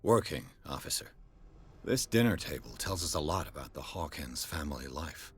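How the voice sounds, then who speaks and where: An actor playing a composed, persistent private detective in a video game, speaking in a measured, probing, firm way. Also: male voice, very deep voice, animated, slight background noise. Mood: pensive. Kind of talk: video game cutscene acting.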